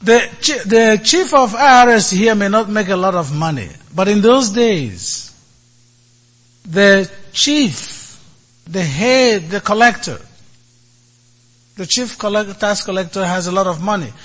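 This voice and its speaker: A man giving a sermon as a priest, speaking in short, deliberate phrases with many pauses. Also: high voice, expressive, fairly bright tone.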